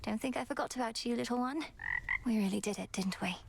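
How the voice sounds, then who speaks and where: A woman voicing an earnest young goddess princess in a game, speaking in a formal, measured way. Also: on the high side, creaky, slight background noise. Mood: earnest, reflective. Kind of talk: scripted game voice acting.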